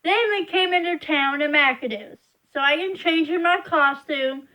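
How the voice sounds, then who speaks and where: High-pitched young woman venting slowly. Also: sharp tone, low-quality recording. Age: young adult. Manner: frustrated, rambling, emphatic complaining. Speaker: vlogger. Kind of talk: casual venting monologue.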